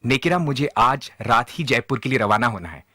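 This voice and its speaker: A man's voice: mid-range voice, expressive, brisk, pushed and bright, soft background music. A man playing a loyal merchant friend in need in a moral-story cartoon, earnest and polite. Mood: urgent, pleading. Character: male merchant friend, needy, grateful and loyal. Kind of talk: animated moral-story dubbing.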